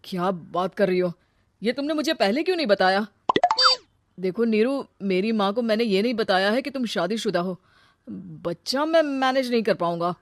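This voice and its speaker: A woman voicing a smooth-talking, self-assured young man in a family story, direct and persuasive. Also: mid-range voice, animated, moderate pace, a little hiss or hum, close to an everyday voice. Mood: irritated, wary.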